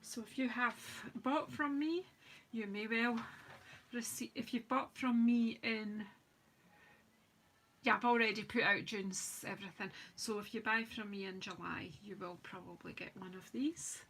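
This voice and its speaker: A woman with a slightly croaky voice, chatting and rambling as she hosts a live craft demonstration. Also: a little high, fairly even intonation, a little slow, fairly bright tone, a little hiss or hum. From United Kingdom. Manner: chatty, rambling, self-deprecating, talks while working. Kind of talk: live-stream craft demo chat.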